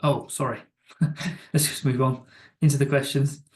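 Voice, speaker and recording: A man giving an informal, conversational recap of a software product in a webinar, now and then stumbling over his words. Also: mid-range voice, level tone, moderate pace. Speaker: software demo presenter. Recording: roomy, echoing sound.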